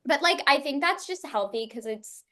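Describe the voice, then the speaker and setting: A young woman in her twenties with a high, very bright voice. She chats casually as a podcast guest, talkative and loose, dropping "like" into nearly every phrase. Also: animated, moderate pace. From New York City. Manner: chatty, peppers speech with "like". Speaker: podcast guest, content creator.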